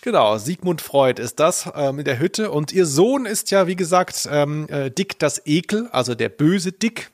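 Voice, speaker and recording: A man's voice: mid-range voice, dry recording. Playful man on a dry-sounding podcast. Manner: playful, joking. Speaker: podcast host, culture journalist. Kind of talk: casual podcast chat about audio dramas.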